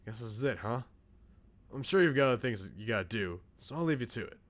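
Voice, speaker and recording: A man's voice: medium pitch, lively intonation, fast, fairly bright tone, close to an everyday voice. Man acting a brash, loyal young bro. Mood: warm farewell. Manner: casual, slangy, earnest.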